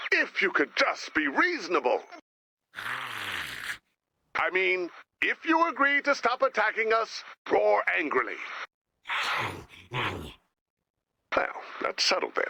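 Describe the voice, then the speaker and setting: A fussy robot boss from a video game, bright voice, pitch jumps all over, kinda worried. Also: male voice, huge pitch swings, slow pace, long pauses, exaggerated voice. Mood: pleading. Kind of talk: video game character dialogue.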